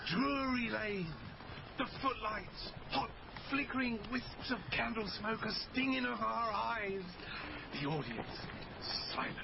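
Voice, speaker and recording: A man's voice: very high-pitched, a little slow, vocal fry, dull audio, background noise, exaggerated voice. A man playing a grandiloquent theatrical father in a radio drama, florid and declamatory in manner. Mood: grand, rapturous. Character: grandiloquent theatrical father, head of a troupe of players.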